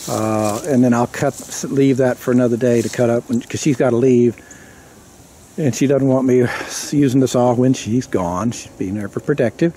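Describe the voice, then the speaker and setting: A man talking casually to camera outdoors in a woodland clearing, rambling and correcting himself as he explains. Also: medium pitch, moderate pace, dark tone, a little hiss or hum. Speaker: vlogger.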